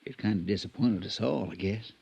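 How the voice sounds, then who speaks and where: Casting: deferential old-radio stable hand, low mellow voice, folksy and hesitant, loyal but worried. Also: male voice, fairly quick, vocal fry, breathy edge. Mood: respectful, uneasy. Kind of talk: 1950s radio drama acting. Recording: dull, muffled vintage radio recording.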